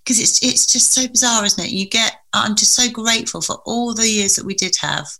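A woman's voice: mid-range voice, brisk, pushed and bright. A woman talking as a guest in a heartfelt conversation, earnest and reflective, speaking in long winding sentences.

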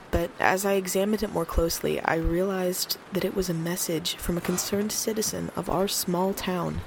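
A woman's voice: lowish voice, a little mellow, a little hiss or hum, close to an everyday voice, rough recording. A woman playing a concerned small-town late-night radio host in an eerie audio drama.

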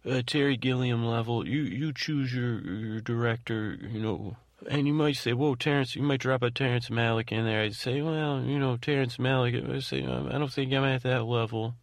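A man hosting a bedtime podcast in a gravelly voice, meandering and halting as he trails off mid-thought. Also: mid-range voice, steady tone, no echo, studio quality. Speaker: podcast host. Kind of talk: rambling bedtime podcast monologue.

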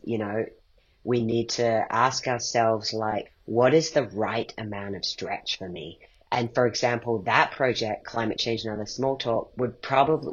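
Man, lowish husky voice, reflective interview guest, thoughtful delivery, dull lo-fi recording. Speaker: guest, playwright and podcast creator. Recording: dull, muffled lo-fi sound.

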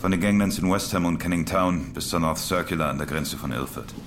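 An actor playing a terse, gritty London police detective in an audio drama, speaking in a curt, dry way. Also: male voice, low-pitched, fairly quick. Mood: curt, sardonic. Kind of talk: audio drama acting, interrogation scene. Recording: clear background noise under the voice.

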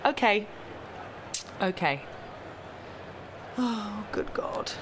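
An actress playing a brisk, exasperated head of communications, curt and dry, in a naturalistic comedy drama. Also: female voice, mid-range voice, lively intonation, noisy background. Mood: urgent.

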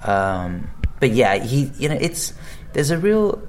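A man's voice: medium pitch, big pitch swings, slow, slight background noise. A man, an actor, chatting casually in an interview, rambling with many restarts and fillers. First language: English. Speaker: actor guest.

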